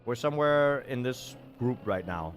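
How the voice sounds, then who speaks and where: A man's voice: mid-range voice, animated, moderate pace, a little hiss or hum. Man casting a racing game live. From Denmark. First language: Danish. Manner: self-deprecating, chatty, reacts to chat. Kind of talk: live game commentary with chat.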